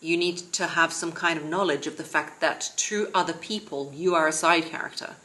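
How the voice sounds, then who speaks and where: A woman in her thirties gives relaxed advice from a sofa at home, her voice low and settled. She holds a calm, steady, near-monotone delivery, talking to a camera set a little way off. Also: moderate pace. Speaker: vlogger and confidence coach. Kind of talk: casual advice vlog to camera. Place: living room, seated on a sofa.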